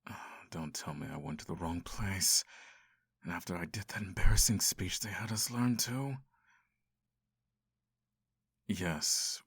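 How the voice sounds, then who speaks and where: Man playing a deep-voiced sardonic incubus. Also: fairly bright tone, slightly rough, dry recording. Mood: weary, sheepish. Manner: theatrical, sighing, dry sarcasm. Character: exasperated male incubus, sardonic, seductive lust demon. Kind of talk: ASMR roleplay monologue.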